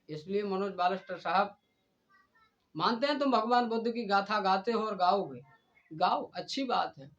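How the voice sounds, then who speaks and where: Guy with a pretty high voice lecturing and scolding someone like hes giving a speech, muffled lofi sound. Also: a bit bright. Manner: scolding, indignant, oratorical with repeated direct address. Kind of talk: admonishing monologue addressed to a rival and the community.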